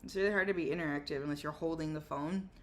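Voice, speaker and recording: A woman's voice: low voice, fairly even intonation, fast. A woman co-hosting a livestream in casual conversation, laid-back and freely giving her opinions. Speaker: livestream co-host. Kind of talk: casual chat. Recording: faint background noise.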